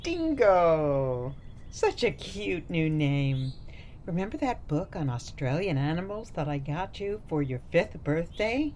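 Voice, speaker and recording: Gushing grandma for radio drama woman actor: unhurried, lilting widely, some noise and ambience behind her. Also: low voice, very animated, darkish tone, full character voice. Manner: gushing, cutesy, doting. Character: sweet, gushing, doting grandmother. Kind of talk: radio play acting.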